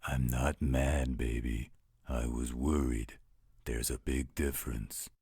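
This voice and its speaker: A man playing a gruff, overprotective werewolf guardian in an audio roleplay, gravelly and husky, with a protective, fatherly manner. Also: extremely low pitch, fairly even intonation, takes it slowly, darkish tone, no room sound, studio quality, heavily acted. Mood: concerned, tender. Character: gruff, overprotective male werewolf guardian, tender toward his ward.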